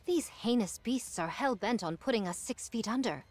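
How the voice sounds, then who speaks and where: A woman's voice: on the high side, animated, normal speed, darkish tone. A woman voicing a proud, boastful android warrior in an anime game, speaking in grandiose archaic phrases over music. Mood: proud, defiant.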